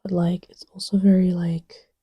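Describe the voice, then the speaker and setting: A woman playing an easygoing girlfriend in a soft ASMR roleplay, rambling hesitantly in a breathy murmur that often drops to a whisper. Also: lowish voice, flat delivery, normal speed, no echo, studio quality. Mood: relaxed, musing. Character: easygoing girlfriend chatting idly while testing markers.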